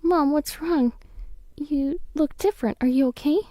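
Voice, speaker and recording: A woman's voice: high voice, measured pace, warm tone, no room sound, voice pushed up. A woman voicing an earnest young schoolgirl in a storybook narration, anxious, caring and pleading with urgency. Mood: worried.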